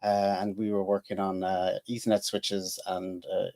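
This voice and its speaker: A man's voice: sits low, completely flat delivery, normal speed, a little mellow. A man in his forties with an Irish accent, telling his career story casually on a podcast, with many fillers and restarts. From Ireland. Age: 40s. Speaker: podcast guest, engineer.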